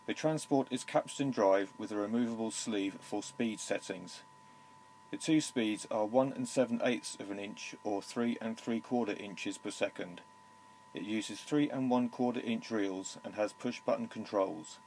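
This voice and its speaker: A man reading out a test recording in a steady, deliberate way, counting and listing technical details, into a tape recorder's handheld microphone indoors. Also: on the low side, slight background noise.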